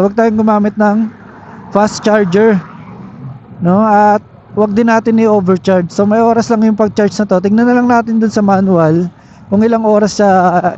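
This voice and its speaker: A Filipino man with a high-pitched voice talks casually through a product review, explaining and checking in with the viewer. His helmet intercom sounds dull, with faint vehicle noise behind him as he rides. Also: a little mellow. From Philippines. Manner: explanatory, with frequent tag questions. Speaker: motovlogger reviewing gear. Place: on a moving motorcycle, inside a helmet. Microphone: helmet intercom microphone.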